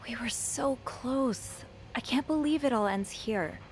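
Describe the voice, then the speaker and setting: An actress voicing a curious, earnest, grateful young hotel maid in a naturalistic video game performance. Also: female voice, on the high side, measured pace, slight background noise, natural voice. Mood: downcast, grateful.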